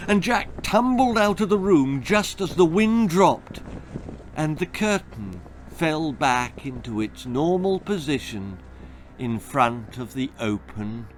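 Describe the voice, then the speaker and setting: A man's voice: on the high side, slight background noise. A man reading a spooky, comic children's story in a theatrical, suspenseful manner. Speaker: author reading own story. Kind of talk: dramatic children's horror-comedy storytelling.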